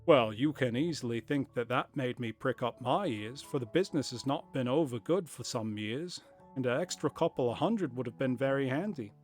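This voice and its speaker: A man's voice: medium pitch, normal speed, hardly any pauses, faint music behind, natural voice. A man doing a chatty old-time shopkeeper. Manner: put-on period accent, storytelling. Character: chatty middle-aged male shopkeeper telling his story. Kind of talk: reading a story aloud.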